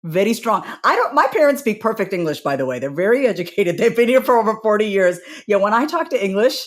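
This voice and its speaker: A woman with a New York accent, a guest on a podcast, who coaches in an animated, encouraging way and laughs often. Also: mid-range voice, fast talker. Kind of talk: casual podcast conversation.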